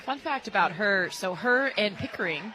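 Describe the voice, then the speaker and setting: A woman's voice: mid-range voice, animated, normal speed, bright, forceful tone, low-quality recording. An American woman giving casual, conversational color commentary on a sports broadcast. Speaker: color commentator, former player. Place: radio broadcast booth at a ballpark.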